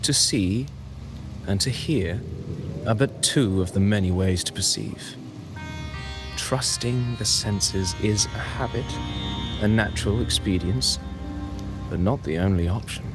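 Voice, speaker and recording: An actor playing a courtly, cryptic traveling poet in a fantasy game, speaking in an eloquent, measured, theatrical manner. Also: male voice, lowish voice, over music, close to an everyday voice. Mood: calm, knowing. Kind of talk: dramatic fantasy game voice acting.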